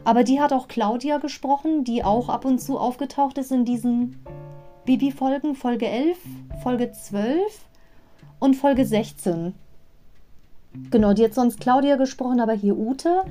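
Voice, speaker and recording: A woman hosting a podcast, retelling an audio play in an animated, chatty way with asides. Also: high-pitched, unhurried, faint music behind. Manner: animated fan commentary with asides. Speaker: podcast host.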